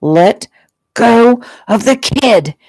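A woman's voice: low-pitched, measured pace, gravelly, a little mellow, no echo, studio quality. A woman voicing a cold, menacing male kidnapper in an audiobook, taunting and threatening. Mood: enraged. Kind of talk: audiobook character acting.

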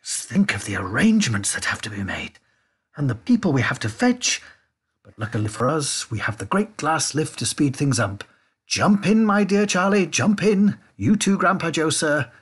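A man voicing an excitable, eccentric gentleman factory owner in a storybook reading, gushing and repeating his exclamations. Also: mid-range voice, normal speed, slightly rough, exaggerated voice. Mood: bustling, eager.

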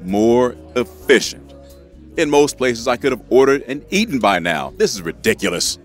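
An exasperated male restaurant customer, played by an actor in a comedy audio sketch. His delivery is sarcastic and indignant, swinging widely in pitch. Faint music plays behind him. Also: very animated, normal speed, natural voice. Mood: irritated.

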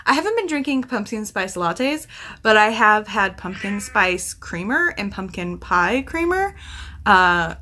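A woman in her thirties talks in a bright voice of middling pitch. She reviews books casually for a vlog, thinking aloud and correcting herself as she rambles along. It is recorded at home in a small room. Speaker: book vlogger. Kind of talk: casual chatty book review to camera.

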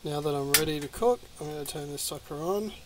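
A man's voice: a little high, brisk, background noise. An Australian man talking casually through a cooking walkthrough inside a small van, with many ums and restarts. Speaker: vlogger.

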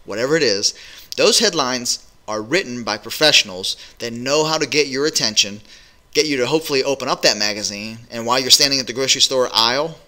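A middle-aged man with a very bright, sharp voice gives business advice to his webcam. He talks directly and energetically, with frequent uhs and ums. He sits at a desk in a small home office. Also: mid-range voice, brisk, poor audio. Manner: energetic, direct, many fillers. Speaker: business coach.

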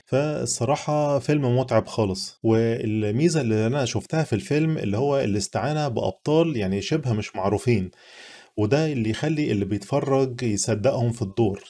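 A young Egyptian-accented man talks his viewers through a film review at a brisk clip. His voice is dark and mellow, and he sounds chatty and earnest, as if speaking straight to a friend. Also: mid-range voice, gravelly. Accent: Egyptian Arabic. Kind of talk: casual spoken film review.

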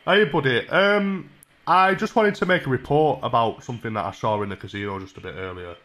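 Young man, Northern English accent, bright forceful voice, deadpan mock-earnest prank caller, casual. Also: mid-range voice, a little fast, a little hiss or hum. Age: 20s. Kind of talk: casual prank phone call. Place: small room at home. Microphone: desk microphone on a stand.

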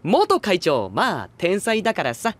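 Lively, high, cocky young male idol. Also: measured pace, exaggerated voice. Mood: breezy, smug. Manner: flippant, self-assured, teasing. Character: breezy, cocky former student-council president, young male idol. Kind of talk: Japanese idol voice drama.

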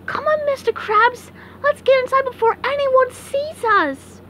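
Woman doing an excitable, very high-pitched cartoon sponge. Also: unhurried, slight background noise. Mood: eager. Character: excitable, naive cheerful young male sea sponge. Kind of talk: amateur comic dub, cartoon voice acting.

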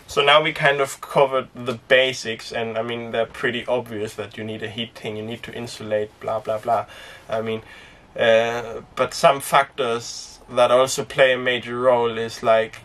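A young man who grew up speaking Danish, chatting casually to camera inside a small sailboat, relaxed and rambling with lots of fillers. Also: medium pitch, moderate pace, hardly any pauses, far from the mic, a little hiss or hum. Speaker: sailing vlogger. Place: inside a small sailboat cabin and cockpit tent.

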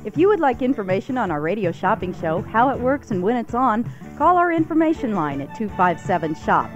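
Lively American woman announcer. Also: a little high, brisk, dull audio. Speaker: radio station announcer. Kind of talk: scripted radio promo. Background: music.

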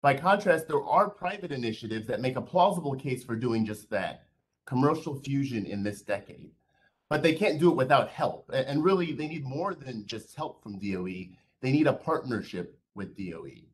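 A man, a board member, giving measured, earnest prepared remarks over a video call. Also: mid-range voice, lively intonation.

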